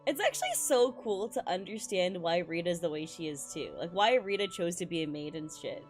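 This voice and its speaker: A woman streaming a game with casual, excited commentary, slangy and sweary in an expressive way. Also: lowish voice, a little fast, faint music behind.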